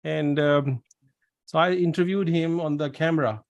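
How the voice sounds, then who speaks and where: A man's voice: on the high side, moderate pace, highs cut off. A man hosting a pharmacy webinar over a video call, chatty and repeating his words as he tells anecdotes. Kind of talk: casual webinar Q&A.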